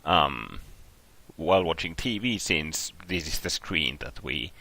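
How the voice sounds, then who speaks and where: A sleep-deprived young man hosting a lets play, talking slowly with a raspy voice and rambling with lots of fillers. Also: very deep voice, lively intonation, sharp tone. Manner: slow, rambling, full of fillers and restarts. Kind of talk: casual gaming commentary.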